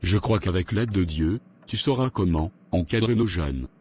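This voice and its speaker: A man playing a kindly, formal pastor in an animated moral drama. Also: low-pitched, normal speed, slight background noise, natural voice. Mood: approving, solemn. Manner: courteous, formal. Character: kindly, formal church pastor praising a member.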